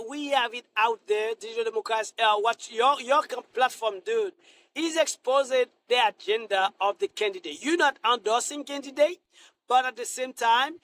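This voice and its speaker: A middle-aged man from Haiti, a Senate candidate answering interview questions in a halting way, full of restarts and fillers. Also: extremely high pitch, fairly bright tone, slight background noise.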